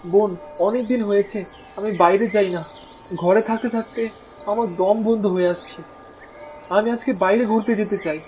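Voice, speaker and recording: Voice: mid-range voice, steady tone, normal speed, pauses often, mellow, slight background noise, lightly acted. An actor voicing a pleading young brother turned talking deer in a fairy-tale cartoon. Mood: earnest.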